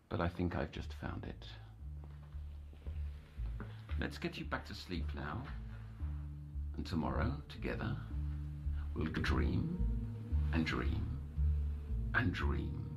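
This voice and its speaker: An actor playing a brooding, obsessive psychiatric counsellor in an audio horror drama, theatrical and ornate, slipping into whispers and eerily tender. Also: male voice, low voice, creaky, full character voice. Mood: sinister tenderness.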